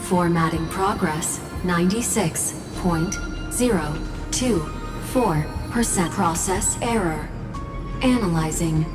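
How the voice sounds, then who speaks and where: An impassive female machine makes system announcements for a game. The delivery is very slow and procedural, on the low side, ringing in a long reverb. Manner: flat, measured, procedural. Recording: long reverb, music behind. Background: music.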